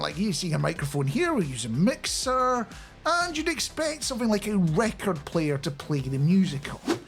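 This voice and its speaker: A middle-aged man giving a casual, chatty and animated explainer into a close studio microphone. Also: sits high. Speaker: radio producer and software developer presenting a video. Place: small home studio indoors. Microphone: close studio microphone on a boom arm.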